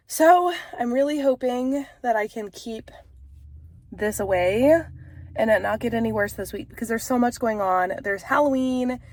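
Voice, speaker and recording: Congested woman in a chatty vlog. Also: fairly high pitch. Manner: chatty, frank, with frequent fillers. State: congested, stuffy nose. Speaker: vlogger and author. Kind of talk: casual chat to camera.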